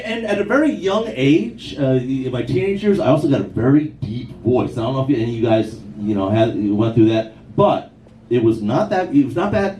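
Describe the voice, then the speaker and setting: Rambling fifty-something comic in a roomy bar. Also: male voice, medium pitch, dark tone, distant mic. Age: 50s. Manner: loose, rambling delivery with filler "man" and "bro". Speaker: stand-up comedian. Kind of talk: stand-up comedy set. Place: small kava bar comedy night. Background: audience laughter and heckles.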